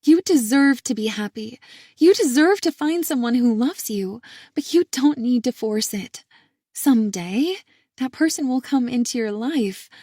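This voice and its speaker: A woman voicing a worried, caring adult sister who speaks softly and pleads with earnest concern in an audiobook. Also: on the high side, lively intonation, measured pace, no room sound, clean studio sound, voice pushed up. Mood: pleading. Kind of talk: audiobook character reading.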